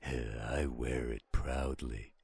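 A man's voice: very deep voice, steady tone, very slow, vocal fry, a little mellow, husky, exaggerated voice. A man playing a pushy, loyal friend in a roleplay audio drama, steadily teasing and insisting. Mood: teasing, insistent.

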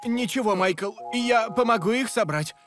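A man voicing a caring, cautious father in a cartoon dub, gentle and concerned. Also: sits high, normal speed, music in the background, lightly acted. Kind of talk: animated series dub.

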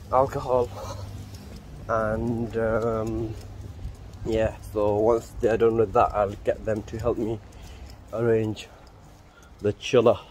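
A man's voice: medium pitch, dark tone. A young man in his twenties, a warehouse supervisor, talking to his phone as he works, rambling and muttering to himself. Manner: rambling, self-talking, swears under breath. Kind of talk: casual work vlog. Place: warehouse and outdoor yard. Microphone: handheld phone at arm's length. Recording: noisy phone audio with traffic.

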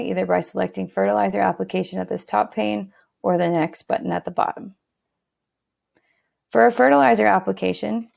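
A woman narrating a screen-recorded software walkthrough in a steady instructional tone, with a few fillers and restarts. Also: medium pitch, gravelly.

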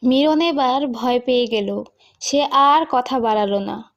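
A woman's voice: a little high, a little slow. A woman narrating a scripted cartoon folk tale as the storyteller.

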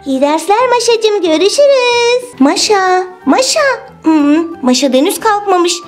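A woman voicing a caring, slightly bossy big sister girl in a toy play video, with a high, girlish, teacherly voice. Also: expressive, unhurried, faint music behind.

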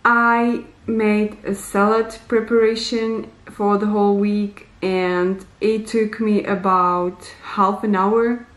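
A woman in her thirties giving deliberate, simply worded advice to camera in slightly non-native English, in a casual instructional vlog recorded in a small bare room. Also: medium pitch, little pitch movement, reverberant. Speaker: vlogger, host.

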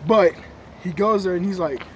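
A young man telling a creepy story in casual slang, recorded in a dark room on a camera a few feet away. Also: sits high, moderate pace, highs cut off. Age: young adult. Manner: slangy, laid-back, joking. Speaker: co-host. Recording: noisy camera audio.

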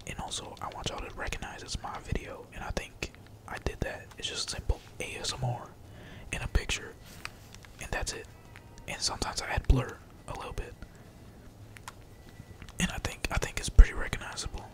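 A man whispering casual, slangy and sweary ASMR gaming commentary in a breathy, playful mutter, as a streamer talking to viewers. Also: moderate pace, rough recording. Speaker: gaming streamer, channel host.

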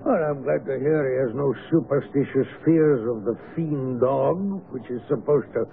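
An actor playing an affable, eccentric naturalist neighbour with a sinister edge, chatty and courteous, in a radio drama. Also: male voice, mid-range voice, moderate pace, vocal fry, natural voice. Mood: genial, probing. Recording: noisy phone-like vintage radio broadcast.

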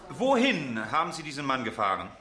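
An actor playing a formal prosecuting counsel in a courtroom radio play, questioning methodically. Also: male voice, medium pitch, wildly swooping pitch, a little fast, sharp tone.

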